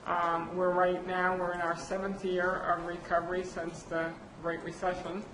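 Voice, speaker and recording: Woman presenter, low-ish and flat, hesitant delivery, echoey hall with fan noise. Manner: halting, many fillers and restarts. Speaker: county budget presenter. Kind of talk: public meeting presentation. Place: county board meeting room. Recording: echoey chamber with air conditioner noise.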